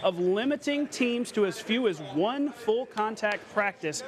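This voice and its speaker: A man's voice: high voice, expressive, a little slow, a crowd in the background. An American man anchoring a local TV sportscast, reading scripted news with playful, conversational asides.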